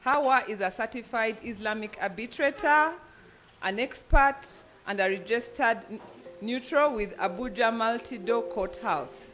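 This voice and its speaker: A woman's voice: a little high, unhurried, fairly bright tone. A woman with a Ugandan accent, formally introducing panelists as a conference moderator. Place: event venue, speaking live to an audience.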